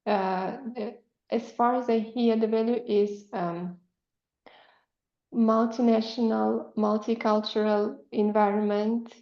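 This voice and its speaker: A woman language coach asking calm, probing questions over a video call. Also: on the high side, slow pace. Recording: dull echoey room sound.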